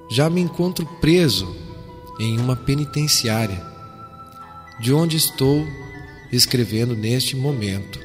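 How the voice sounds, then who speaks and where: A man reads a letter aloud over the radio, solemn and heartfelt. He takes it very slowly, each phrase given weight. His voice trails a long reverb over faint music. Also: mid-range voice.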